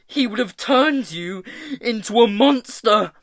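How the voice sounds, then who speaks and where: A man voicing an anguished, bitter young girl in an audiobook adventure, shouting accusingly in a shrill voice. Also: lively intonation, slow pace, no echo, heavily acted. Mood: furious anguish. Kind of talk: audiobook character acting.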